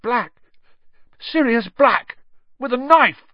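A man's voice: high-pitched, sing-song, measured pace, dry recording, highs cut off, pitched above the performer's own voice, heavily acted. A man voicing a terrified teenage boy in an audiobook, frantic and insistent, with urgent exclamations in a strained voice. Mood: panicked.

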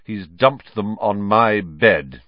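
A man's voice: fairly low pitch, expressive, slow pace, no room sound, muffled recording. A man voicing a self-important older teenage boy with a lofty, long-suffering manner in an audiobook. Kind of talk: audiobook character voice.

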